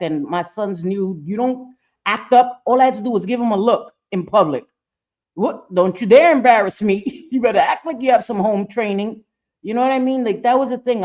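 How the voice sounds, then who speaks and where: A middle-aged woman talking plainly and bluntly, with a low voice she calls manly and a habit of laughing between points. Her speech is salty and casual, like a host riffing live. The audio sounds a bit muffled and lo-fi. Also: a little mellow. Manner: blunt, profane, laughing often. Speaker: livestream host. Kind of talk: casual reaction commentary.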